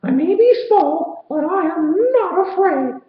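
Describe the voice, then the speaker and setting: A man voicing a small, brave young male hero in a storybook, squeaky and determined. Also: lively intonation, slow, heavily acted. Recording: roomy, slightly dull recording.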